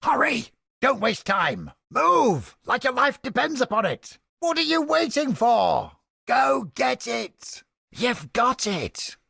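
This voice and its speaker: An actor playing a cynical, haughty old scholar of the mind in a video game, with a raspy, gravelly voice and a pompous, irritable manner. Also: male voice, very high voice, big pitch swings, takes it slowly, pushed and bright, no echo, clean studio sound, exaggerated voice. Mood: urgent, commanding. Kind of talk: dramatic video game voice acting.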